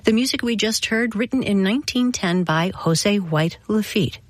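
A woman hosting a classical music radio show, reading scripted introductions in a measured storytelling manner. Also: medium pitch, no room sound.

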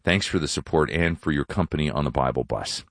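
A man's voice: a bass voice, fairly quick, no echo, clean studio sound. A man hosting a Bible radio program in a scripted style, reading out listener letters.

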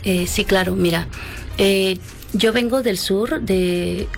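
A woman from the Mexico-Guatemala border who grew up in Guatemala, answering interview questions calmly and reflectively, with frequent hesitations. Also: medium pitch, slow pace, vocal fry, faint music behind. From Mexico-Guatemala border, raised in Guatemala. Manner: calm, reflective, many hesitations. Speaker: guest, wellness facilitator.

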